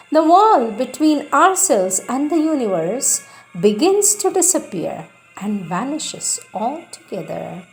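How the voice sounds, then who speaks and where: A woman reading a spiritual text aloud in a solemn, deliberate, devotional way, with emphatic phrasing and frequent pauses. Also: mid-range voice, rough recording. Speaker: reader of spiritual texts.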